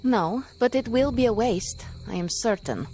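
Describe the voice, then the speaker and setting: A woman's voice: a little high, lively intonation, moderate pace, warm tone. A woman voicing a disdainful, impatient, formal-spoken hero in a video game. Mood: irritated. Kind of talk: video game cutscene acting. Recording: music clearly behind the voice.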